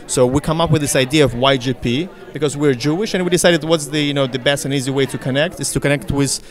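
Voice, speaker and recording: A young man with a heavy Russian accent talks through an interview in a mid-range voice, rambling and leaning on "you know". He sounds relaxed and chatty. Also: medium pitch, quick pace. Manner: talkative, fills speech with "you know". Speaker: interviewee, real estate broker. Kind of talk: casual interview.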